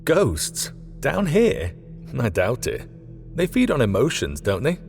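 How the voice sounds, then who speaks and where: A man's voice: medium pitch, wildly swooping pitch, takes it slowly, creaky, darkish tone, big-room sound, faint music behind, voice pushed up, exaggerated voice. A man voicing an easygoing, distracted young male worker in an audiobook, with a casual, slangy, offhand manner. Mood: amused, easygoing. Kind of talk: audiobook character dialogue.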